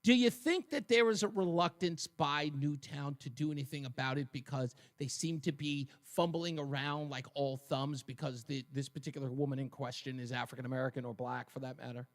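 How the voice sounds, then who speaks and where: A man's voice: medium pitch, brisk, hardly any pauses. A man hosting a talk radio show, interviewing a caller in a conversational style.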